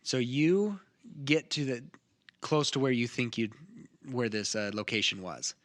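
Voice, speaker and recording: A man's voice: medium pitch, lively intonation, unhurried. A man casually narrating a tabletop game as game master among friends.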